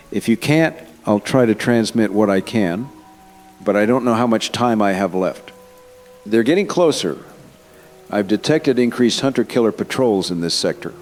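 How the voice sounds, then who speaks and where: A man playing a desperate, remorseful scientist in hiding, speaking gravely in an audio drama monologue. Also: lowish voice, a little mellow, close to an everyday voice. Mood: strained, fearful.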